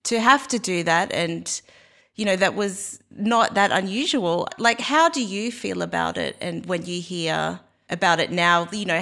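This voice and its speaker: A woman's voice: fairly low pitch, vocal fry, fairly bright tone. A woman hosting a conversational interview, informal and empathetic as she responds to her guest. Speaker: interview host.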